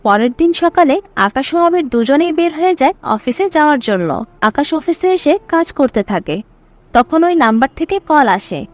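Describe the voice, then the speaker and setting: A woman's voice: high-pitched, lively intonation, normal speed. A woman reading scripted story narration as the narrator.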